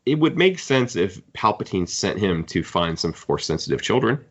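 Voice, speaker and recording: A man with a very low voice talks casually as a podcast host. He is opinionated and critical as he discusses books. The recording is a little dull and lo-fi. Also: sing-song, normal speed. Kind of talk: casual book discussion chat.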